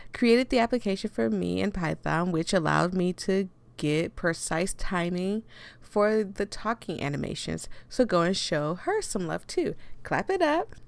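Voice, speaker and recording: A woman hosting a game playthrough with casual, expressive and playful commentary. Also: medium pitch, gravelly, no echo. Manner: playful, chatty, expressive reactions. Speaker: host playing a game for viewers.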